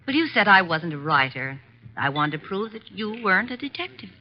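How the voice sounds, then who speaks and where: A woman's voice: medium pitch, very animated, fairly quick, lightly acted. A woman playing a coy, teasing young femme fatale in an old radio drama. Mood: coy, apologetic. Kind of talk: 1940s-50s radio acting. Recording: muffled old radio recording.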